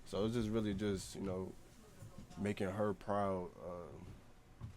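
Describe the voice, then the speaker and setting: A young man who is a podcast guest, talking casually in a hesitant, halting way. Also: low voice, flat delivery, darkish tone. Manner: hesitant, halting, many fillers and restarts.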